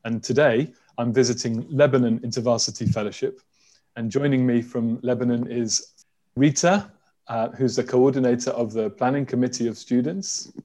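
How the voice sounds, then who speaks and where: A man's voice: medium pitch, fairly even intonation, normal speed, dark tone. Friendly man hosting a video call. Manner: friendly, hesitant with many fillers. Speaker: host. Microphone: video call from home.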